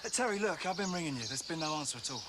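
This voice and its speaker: An actor playing a defensive office boss who pleads to be believed. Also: male voice, fairly high pitch, lively intonation, racing, lightly acted. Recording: background noise in a drama scene.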